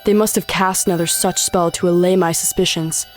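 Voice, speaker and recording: A woman's voice: on the low side, fairly even intonation, a little fast, faint music behind, lightly acted. A woman acting the part of a cold, hateful demoness in an audio drama, with formal, solemn delivery. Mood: cold, brooding. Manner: formal, incantatory, solemn phrasing.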